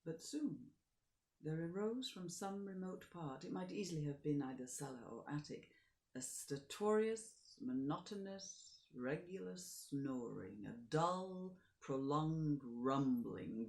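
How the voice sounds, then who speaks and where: A woman actor reading a classic short story aloud in measured narration, often sinking to a soft near-whisper. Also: a bass voice, animated, echoey room. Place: home during lockdown.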